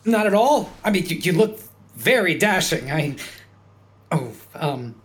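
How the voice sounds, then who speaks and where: A woman's voice: on the low side, very animated, unhurried. A woman playing a flustered, ambitious junior talent agent, stammering, eager and fawning. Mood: fawning, nervous.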